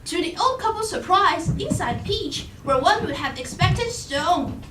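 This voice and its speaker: A woman's voice: very high voice, lively intonation, measured pace, crowd noise. A woman narrating a scripted story live as a puppet-show storyteller. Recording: echoey room, distant mic, noisy.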